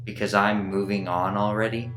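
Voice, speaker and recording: A woman's voice: extremely low pitch, little pitch movement, normal speed, fairly bright tone. A woman playing a cheeky, flirtatious spaceship crew member in a radio play, teasing and sarcastic. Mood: cheeky, flirting. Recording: echoey room, faint music.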